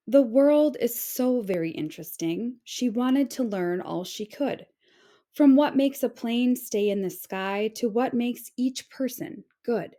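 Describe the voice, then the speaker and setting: A woman reading a children's picture book aloud in an expressive, carefully phrased storytelling way. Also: on the high side.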